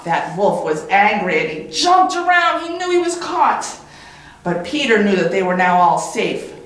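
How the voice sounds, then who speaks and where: A woman reading a children's story as a teacher, in an expressive, animated way with dramatic pauses and repetition. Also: medium pitch. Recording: very echoey room.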